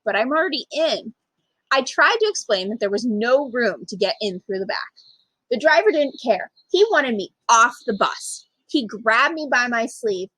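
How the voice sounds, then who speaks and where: A woman reading a children's picture book aloud as a storyteller, with emphatic, expressive delivery for young listeners. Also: on the high side, normal speed, slightly rough, dry recording, rough recording. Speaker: storytime reader, literacy program manager.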